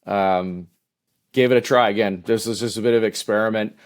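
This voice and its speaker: Casting: Canadian man, fifties, low voice, easygoing, halting guest answers. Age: 50s. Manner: relaxed, hesitant, many "uh" and restarts. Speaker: podcast guest. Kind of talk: casual interview answers.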